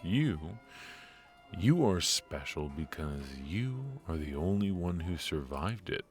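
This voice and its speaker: A man acting a charismatic, world-weary mafia infiltrator in an intimate ASMR roleplay, speaking with wry teasing and hesitant pauses. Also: low-pitched, lively intonation, music in the background. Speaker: voice actor in audio roleplay. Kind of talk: intimate ASMR roleplay, one-sided conversation.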